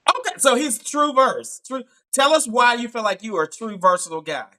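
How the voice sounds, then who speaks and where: Male dating show host, bright and edgy tone, teasing and jokey, video call. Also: very high voice, big pitch swings, fairly quick. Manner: playful, teasing, laughs often. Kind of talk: live online dating show hosting.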